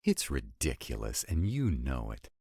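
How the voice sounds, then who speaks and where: Man acting a very deep, sardonic vampire lover. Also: huge pitch swings, a little slow, vocal fry, darkish tone, breathy edge, dry recording, clean studio sound, full character voice. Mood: exasperated ranting. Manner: sardonic, exasperated, intimate, swooping emphasis. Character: sarcastic, grumbling, affectionate male vampire councilman and lover. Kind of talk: romantic roleplay audio acting.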